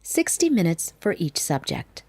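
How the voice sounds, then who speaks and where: A woman's voice: fairly low pitch, sing-song, unhurried, warm tone. A woman voicing a composed, firm young female teacher in a manga dub.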